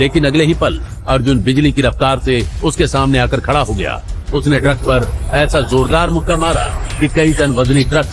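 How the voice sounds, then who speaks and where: A man narrating an audio drama in a dramatic, scripted storytelling style. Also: medium pitch.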